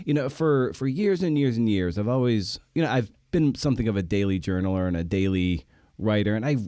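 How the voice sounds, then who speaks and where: A man with a mellow, slightly low voice, recorded close and dry. He talks like a podcast host in casual conversation, rambling as he thinks aloud and doubling back on words for emphasis. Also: expressive, fairly quick, dry recording.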